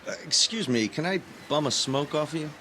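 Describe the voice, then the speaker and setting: An actor playing an earnest, cautious young male graduate student in an audio drama, speaking in a slightly halting way. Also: a little high, expressive, bright, forceful tone, a little hiss or hum. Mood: friendly, hesitant. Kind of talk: naturalistic audio drama acting. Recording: clear background ambience.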